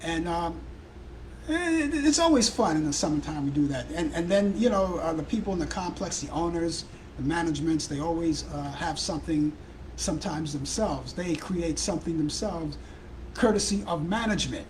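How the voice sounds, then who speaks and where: Hoarse Brooklyn-accented talk show host. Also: male voice, a little high, lively intonation, normal speed, darkish tone, slight background noise, poor audio. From Brooklyn, New York. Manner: rambling, chatty, addresses viewers and callers by name. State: hoarse, worn-out voice. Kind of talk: casual live talk show chat.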